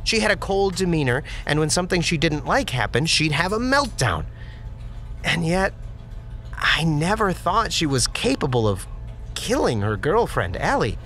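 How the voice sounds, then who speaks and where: A man voices a talkative, pun-loving office worker in an audio drama. His voice sits a little high and jumps around a lot as he jokes and chuckles at his own wordplay. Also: sing-song, moderate pace, a bit bright, faint music behind. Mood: chatty. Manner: joking, chatty, laughs at own puns. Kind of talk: audio drama interview monologue.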